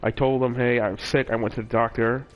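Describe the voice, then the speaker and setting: A man's voice: mid-range voice, level tone, brisk, lo-fi sound. A young man casually telling a personal story in a rambling way, with many fillers and restarts. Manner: rambling, with frequent fillers and self-corrections. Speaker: vlogger.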